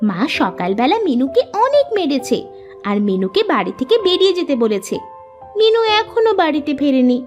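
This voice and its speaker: A woman's voice: high-pitched, sing-song, moderate pace, a little mellow, faint music behind. A woman voicing an outspoken, honest young daughter in a cartoon, speaking insistently. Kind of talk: cartoon dialogue acting.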